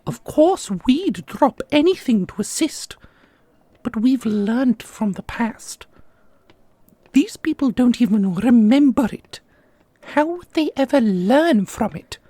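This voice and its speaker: A woman playing a weary, solemn ancient divine guardian in an ASMR fantasy roleplay, soft and husky, with measured speech. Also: fairly high pitch, lively intonation, no room sound. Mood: grave.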